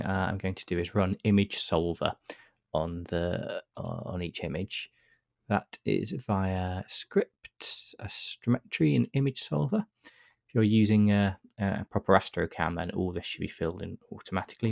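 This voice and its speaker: A man with a cold and a stuffy nose, calmly talking through a software tutorial step by step with frequent uh fillers. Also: low voice, creaky, no room sound. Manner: relaxed step-by-step explaining with many uh fillers. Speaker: astrophotography tutor. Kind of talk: screen-recorded software tutorial.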